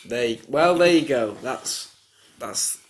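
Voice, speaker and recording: A man's voice: medium pitch, animated, slow pace, a little hiss or hum. A man with a nasal voice, co-hosting a casual podcast chat, rambling and cutting himself off mid-sentence. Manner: rambling, self-interrupting, laughs often. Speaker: podcast co-host. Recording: echoey room sound.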